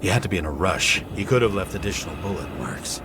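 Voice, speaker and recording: A man's voice: low voice, lively intonation, fast talker, noisy background. An actor playing a weary, cynical middle-aged detective in a noir game, dryly and sardonically muttering his deductions. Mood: musing, analytical. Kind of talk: noir game voice acting, investigative monologue.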